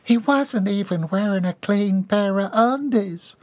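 A weepy, melodramatic young woman, as a male narrator voices her in a storybook reading. Her lines come high and slow, quivering with tears. The room is dry. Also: no echo. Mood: distraught. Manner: tearful, wailing.

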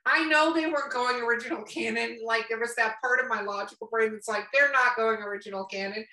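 A woman's voice: a little high, brisk, pushed and bright, reverberant, far from the mic, rough recording. A woman chatting casually on a podcast in a blunt, teasing, profanity-heavy manner. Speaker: podcast co-host.

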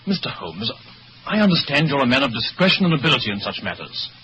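Bright-voiced stiff lord. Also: male voice, medium pitch, big pitch swings, quick pace. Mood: troubled. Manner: stiff, proper. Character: indignant, formal English lord, troubled husband. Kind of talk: old-time radio mystery acting. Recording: dull, hissy old radio recording.

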